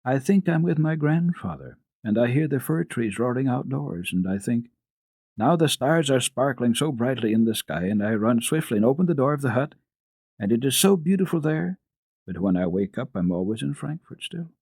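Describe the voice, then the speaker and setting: Here is a small, homesick girl from a classic storybook, acted out by a male reader. The voice is lifted high and slightly husky, timid and earnest. The words come haltingly, close to tears. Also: lively intonation, darkish tone, no room sound. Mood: wistful. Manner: halting, faint, tearful delivery.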